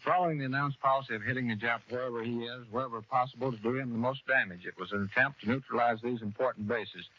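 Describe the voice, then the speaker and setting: A navy officer giving crisp military answers in a 1940s radio interview. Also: male voice, medium pitch, fast talker, few pauses. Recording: muffled 1940s radio broadcast.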